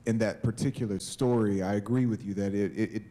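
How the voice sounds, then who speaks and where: A man's voice: on the low side, fairly even intonation, quick pace, dark tone. A man speaking as a panelist, starting his answer hesitantly, from some distance to the microphone. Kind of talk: panel discussion.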